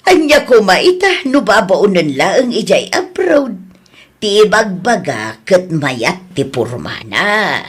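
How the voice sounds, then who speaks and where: Husky Ilocano woman, acted, frank and scolding. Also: mid-range voice, very animated, slow, full character voice. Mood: plain, defensive. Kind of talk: Ilocano audio drama acting.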